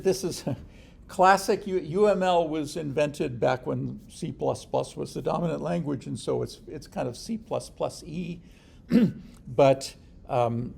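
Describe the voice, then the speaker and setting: A man teaching a programming class in an informal lecture, halting and restarting often, with a dry sense of humor. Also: mid-range voice, creaky, darkish tone. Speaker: programming instructor.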